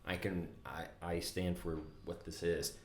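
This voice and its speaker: A man talking in a casual podcast conversation as a company CEO guest, earnest and reflective, with frequent pauses and restarts. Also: deep voice, flat delivery, roomy sound, a little hiss or hum.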